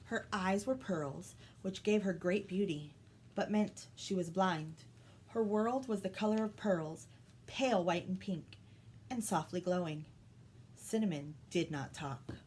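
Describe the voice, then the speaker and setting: A woman's voice: lowish voice, a little slow, a little mellow. A woman reading a children's story aloud as a storytime host. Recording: some background noise.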